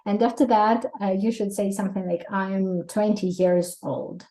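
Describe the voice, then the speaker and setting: Mellow-voiced Russian-accented woman teacher. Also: medium pitch, normal speed, poor audio. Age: 30s. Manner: lively, laughs often, explains with examples. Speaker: English teacher. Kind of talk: exam prep lesson.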